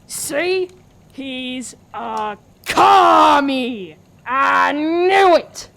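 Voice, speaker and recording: Woman acting paranoid conspiracy guy, high voice, eager, easily cowed, unhurried, radio comedy. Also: lively intonation, gravelly, a bit bright, full character voice. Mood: gloating.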